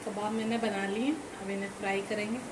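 A woman hosting a cooking channel, calmly walking through a home recipe step by step and repeating points as she goes. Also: mid-range voice, fast. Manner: step-by-step explaining, repeats herself, calm instructive. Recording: echoey room with background noise.

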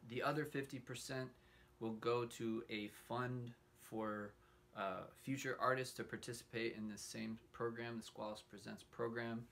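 A man in his thirties giving an informal, halting introduction as host of a livestreamed show, pausing often mid-sentence. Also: medium pitch, fairly even intonation, gravelly, a bit bright. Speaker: host introducing a puppetry showcase. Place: indoor room hung with fabric backdrops. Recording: noisy room recording.

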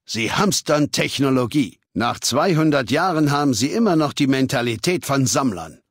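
An actor playing a cold, arrogant warlord dictator in a video game, speaking with condescending, menacing authority. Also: male voice, medium pitch, animated, normal speed, husky, dry recording. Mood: measured, authoritative. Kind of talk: video game dialogue acting. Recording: dry studio recording.

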